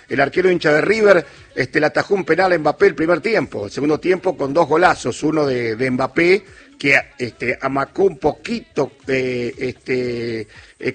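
A man with an Argentine accent hosting radio sports talk, opinionated and emphatic, with searching pauses as he reads listener messages. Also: a little high, breathy edge.

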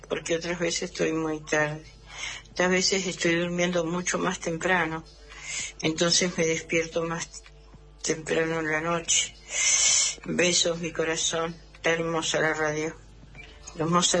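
A woman's voice: fairly low pitch, a bit bright. Rambling Argentine woman, casual and affectionate. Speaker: radio listener leaving a voice message. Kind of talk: casual chat.

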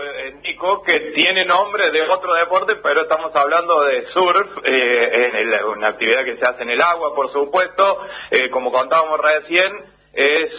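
A man reporting on sports for the radio and explaining things at length. His voice is slightly high, very bright and husky. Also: low-quality recording. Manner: expansive, explanatory.

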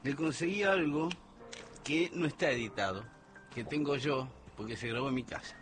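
A man's voice: medium pitch, normal speed, fairly bright tone, soft background music. A man, a radio guest chatting eagerly and chiming in often. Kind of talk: casual radio conversation.

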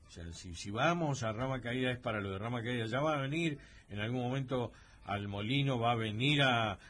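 A man's voice: medium pitch, a little fast, fairly bright tone, slightly rough. A man hosting a radio talk show, thinking aloud with many hesitations and fillers. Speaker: radio host.

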